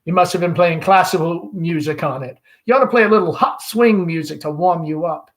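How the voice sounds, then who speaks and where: A man's voice: a little high, fairly quick, close to an everyday voice. Man reading a hearty, ambitious young go-getter.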